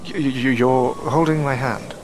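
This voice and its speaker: A man's voice: mid-range voice, steady tone, moderate pace, close to an everyday voice, low-quality recording. A man acting an uneasy, earnest adventurer in an audio drama, speaking hesitantly. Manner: hesitant, anxious. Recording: faint background noise and ambience.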